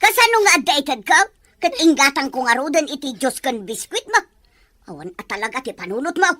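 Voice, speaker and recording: A woman's voice: high voice, very animated, brisk, a bit bright, dry recording. A woman acting a sly, scolding, gambling grandmother in an Ilocano radio drama. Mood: angry scolding.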